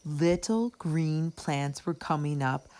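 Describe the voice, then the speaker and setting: A woman storyteller reading a children's book aloud in a deliberate, expressive narrating style. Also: sits low.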